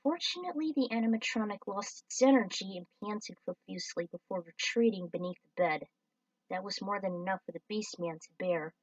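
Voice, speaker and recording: A woman's voice: mid-range voice, a little fast, dry recording, distant mic, poor audio. A woman reading her own fan story aloud in a casual, offhand way. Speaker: fan-fiction reader.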